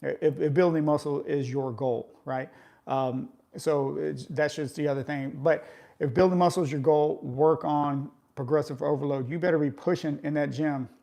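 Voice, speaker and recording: A man's voice: fairly high pitch, fairly even intonation, moderate pace, vocal fry, warm tone. A man in his forties chatting casually with live viewers about workouts, coaching in a conversational way and often tagging his points with "right?". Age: 40s. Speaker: fitness vlogger.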